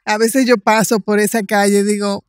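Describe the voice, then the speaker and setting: Female podcast guest, 60s, Dominican accent, conversational storyteller with halting delivery, recorded dry. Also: mid-range voice, level tone, no room sound. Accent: Dominican Spanish. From Dominican Republic. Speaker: podcast guest, writer and cultural promoter.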